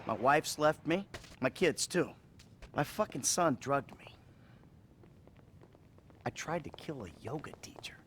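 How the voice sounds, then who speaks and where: A middle-aged American criminal in a game cutscene. He is wry, halting and foul-mouthed, swinging widely in pitch. There is faint noise behind him. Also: lively intonation, a bit bright. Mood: bitter, weary. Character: troubled middle-aged male criminal, evasive, foul-mouthed, self-loathing. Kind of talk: video game cutscene acting.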